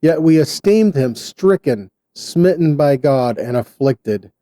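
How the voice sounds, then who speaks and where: A man giving sermon-style Bible teaching in short, deliberate phrases with an earnest, exhorting manner. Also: a little high, expressive, mellow, no echo.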